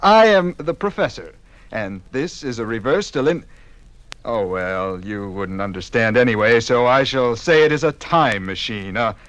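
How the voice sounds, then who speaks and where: An actor playing an eccentric, fussy inventor professor in a 1950s radio comedy sketch, pompous and full of technical jargon. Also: male voice, mid-range voice, lively intonation, moderate pace, a bit bright, dry recording, natural voice. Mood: haughty.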